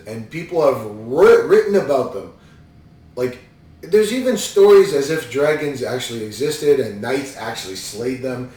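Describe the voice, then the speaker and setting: A man's voice: fairly high pitch, a little mellow. Rambling man in an echoey room. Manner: rambling, incredulous. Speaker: podcast host reviewing a movie. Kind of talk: casual movie commentary. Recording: echoey room, distant mic.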